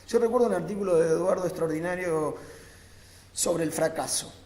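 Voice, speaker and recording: A man giving an improvised philosophical talk on a panel, phrasing deliberately and emphatically in short bursts with pauses. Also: a little high, darkish tone. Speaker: panel speaker, academic. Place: large reverberant conference room. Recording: echoey hall with long reverb.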